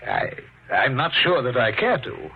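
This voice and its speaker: Courteous, evasive mayor with animated delivery. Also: male voice, mid-range voice, a little slow, vocal fry, heavily acted. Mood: evasive, uneasy. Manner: formal, hesitant, apologetic. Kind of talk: 1950s radio drama acting. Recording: dull, faintly noisy 1950s radio recording.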